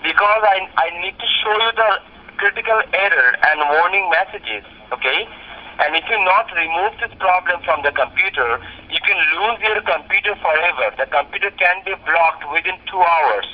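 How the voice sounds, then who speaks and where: A man's voice: sits high, lively intonation, normal speed. A man working as a call center tech support caller, giving insistent scripted instructions and saying sir, heard through a phone speaker. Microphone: phone speaker recorded by a nearby camera. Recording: dull speakerphone call picked up by camera.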